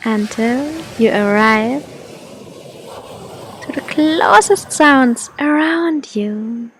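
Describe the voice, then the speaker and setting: A woman leading a guided meditation in a soft, gentle, soothing manner, leaving long pauses between phrases. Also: a little high, slight background noise.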